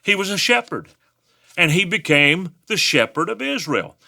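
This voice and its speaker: A man's voice: high voice, big pitch swings, moderate pace, vocal fry, sharp tone. A man with a West Texas accent, a pastor preaching a sermon through folksy personal stories. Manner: folksy storytelling with personal anecdotes.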